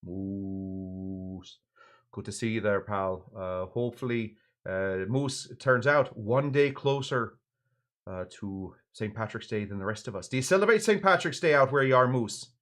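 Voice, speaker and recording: A man hosting a casual livestream in a put-on Irish accent, chatting in a halting, rambling, jokey way with mock-grand asides. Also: mid-range voice, expressive, fairly bright tone. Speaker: livestream show host. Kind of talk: casual livestream chat, greeting viewers and presenting drinks.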